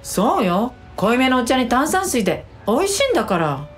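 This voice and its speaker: Cheeky young woman played by an actress, very animated. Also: on the high side, moderate pace, soft background music. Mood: teasing. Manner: teasing, casual, blunt. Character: cheeky, blunt young female marriage agency staffer. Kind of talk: radio drama acting.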